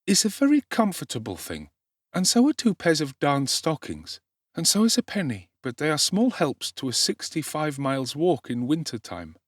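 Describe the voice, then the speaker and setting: Man as a young orphan boy, lifted pitch, wistful, earnest, weary, deliberate, storybook character, lively intonation. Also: no room sound, clean studio sound. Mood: wistful reckoning. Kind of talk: dramatic audiobook character voice.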